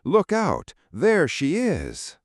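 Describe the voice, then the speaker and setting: A man's voice: a little high, wildly swooping pitch, drawn-out words, husky, no room sound, studio quality, voice pushed up, exaggerated voice. A man voicing an earnest, emphatic schoolboy in an audiobook story. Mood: alarmed warning. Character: earnest schoolboy inviting a classmate to visit.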